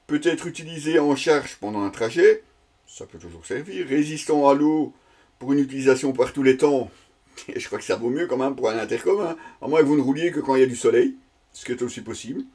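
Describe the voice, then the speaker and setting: A man's voice: mid-range voice, fairly quick, mellow, slightly rough. A man giving a casual, improvised product unboxing commentary, speaking conversationally and hesitantly as he searches for words. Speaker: product reviewer presenting an unboxing.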